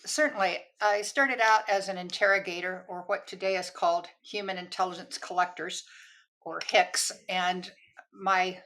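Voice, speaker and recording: An older woman speaks as a podcast guest, recounting her years in army counterintelligence with lively storytelling and easy laughter. Her voice sits somewhat low for a woman. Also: moderate pace, low-quality recording. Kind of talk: telling her career story in an interview.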